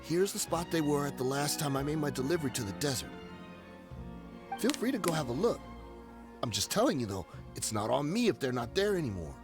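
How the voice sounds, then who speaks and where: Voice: mid-range voice, big pitch swings, a little fast, natural voice. A voice actor playing a shifty desert trader who pleads and dodges blame in a video game. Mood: evasive. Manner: wheedling, evasive. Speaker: game character, a merchant. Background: game music.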